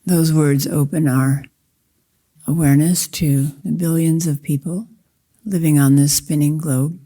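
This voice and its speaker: Casting: woman as Zen meditation guide, very soft-edged dark timbre, slow and deliberate delivery in short phrases. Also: deep voice, fairly even intonation. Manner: measured, deliberate, short phrases with long pauses.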